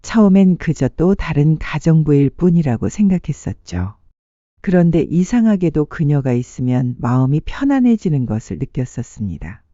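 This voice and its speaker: Dark-toned, expressive story narrator. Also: medium pitch, normal speed, dry recording, highs cut off. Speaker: audiobook narrator. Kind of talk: scripted dramatic story narration.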